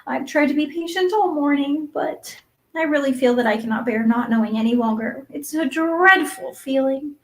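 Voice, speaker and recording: A woman voicing an excitable, dreamy young orphan girl in a storybook, earnest, breathless and gushing. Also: sits high, moderate pace, voice pushed up, natural voice. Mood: pleading, anxious. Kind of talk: acted audiobook dialogue.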